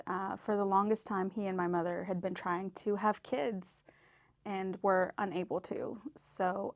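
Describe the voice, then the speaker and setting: A woman's voice: mid-range voice, no room sound, clean studio sound. Woman from southern Mexico telling her story. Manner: relaxed, frequent ums and uhs. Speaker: podcast guest, medical interpreter. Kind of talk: casual storytelling.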